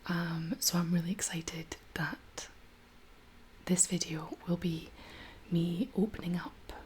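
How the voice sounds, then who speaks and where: Female ASMR host, 30s, lowish whispery voice with a husky edge, gentle delivery, faint background noise. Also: steady tone. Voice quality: breathy, whispery, slightly husky. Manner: gentle, hushed, unhurried. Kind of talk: soft-spoken ASMR, casual chat.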